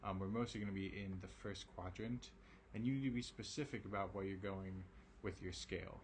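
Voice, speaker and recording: Raspy low guy teaching algebra late at night, laid back, lots of okays, faint hiss behind. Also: fairly even intonation, a little fast. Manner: casual, reassuring, many ums and okays. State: raspy, tired voice late in the day. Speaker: math teacher. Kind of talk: recorded math lesson.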